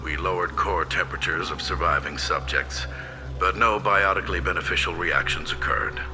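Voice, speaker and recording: An actor playing a detached scientist in a video game, dictating a research log in a clinical, matter-of-fact way. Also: male voice, deep voice, moderate pace, over music. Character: cold, detached male scientist logging human experiments.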